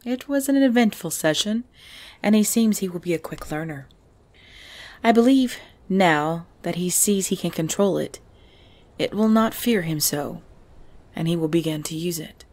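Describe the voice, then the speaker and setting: A woman acting a tender, regal female vampire lover in an intimate ASMR roleplay, speaking softly and hesitantly with breathy whispers. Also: on the low side, lively intonation, moderate pace. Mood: calm, fond. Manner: soft, hesitant, often dropping to breathy whispers. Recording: music bed under the voice.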